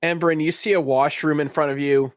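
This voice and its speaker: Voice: medium pitch, fairly even intonation, a little fast, no echo, clean studio sound. A game master running a tabletop game in an informal, improvised narrating style.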